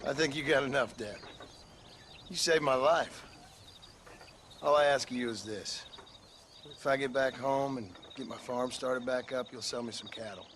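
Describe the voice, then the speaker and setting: A man's voice: medium pitch, moderate pace, long pauses, a bit bright, close to an everyday voice. A man playing a plain-spoken, guarded American gunslinger with a laconic, politely wry manner in a video game western. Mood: calm, wry. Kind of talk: video game cutscene acting. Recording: outdoor ambience, clear background noise.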